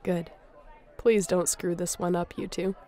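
A woman playing a wry, teasing boss of space smugglers in an audio roleplay, with a dry manner and a creaky voice. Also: on the low side, level tone, a little slow, warm tone, some chatter behind. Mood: wry, fond.

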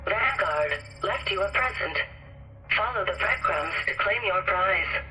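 A woman's voice: mid-range voice, lively intonation, a little slow, sharp tone, slight background noise, poor audio. A woman voicing a cool artificial intelligence in a video game, clipped and impassive. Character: cool female artificial intelligence guiding an agent. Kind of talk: video game assistant announcements.

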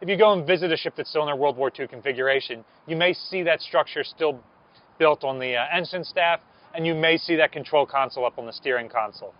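A man's voice: fairly high pitch, quick pace, muffled recording. An American man in his thirties giving an informal explanatory talk to camera as a museum presenter, outdoors on a lapel mic. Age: 30s. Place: outdoors on a ship's deck. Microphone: clip-on lapel mic.

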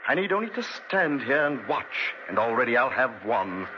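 A man's voice: mid-range voice, very animated, moderate pace, noisy background, lightly acted. An actor playing a vengeful, grief-maddened man in 1940s radio drama style, grave and theatrical. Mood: cold, menacing. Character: vengeful, grief-maddened man, escaped debtor turned obsessive avenger. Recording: muffled, dull 1940s radio recording.

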